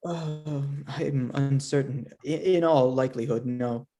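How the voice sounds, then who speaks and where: A man's voice: medium pitch, steady tone, takes it slowly, dark tone, close to an everyday voice. Man acting timid, stammering Doctor Polidori. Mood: nervous. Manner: hesitant, stammering, throat-clearing. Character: Doctor Polidori, timid, awkward male physician. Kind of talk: staged reading of a period drama.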